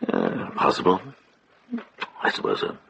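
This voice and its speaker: A man playing a wise, measured medieval monk in a radio drama, with a gravelly voice. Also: pushed and bright, slight background noise. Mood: thoughtful, gentle.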